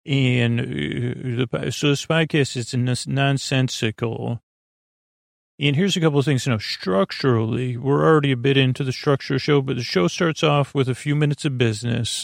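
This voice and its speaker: A man hosting a bedtime podcast in a rambling, improvised monologue, halting and stammering as he meanders into absent-minded, self-deprecating tangents. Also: mid-range voice, gravelly, no echo, studio quality. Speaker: host of a bedtime sleep podcast.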